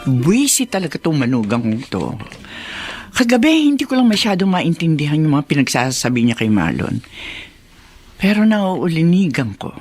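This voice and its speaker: A woman's voice: deep voice, a little mellow. An actress in a radio drama playing a bitter, meddling older mother-in-law who speaks with scornful, insinuating melodrama.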